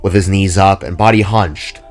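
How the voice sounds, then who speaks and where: A man's voice: low voice, fairly even intonation, normal speed, faint music behind. A man reading scripted fantasy fiction as a storyteller. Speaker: narrator. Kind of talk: scripted story narration with character voices.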